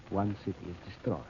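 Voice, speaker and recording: A man's voice: on the low side, dark tone. A cruel, power-mad scientist declaims grandly in an old radio serial. The sound is muffled, with some background noise. He is menacing and commanding.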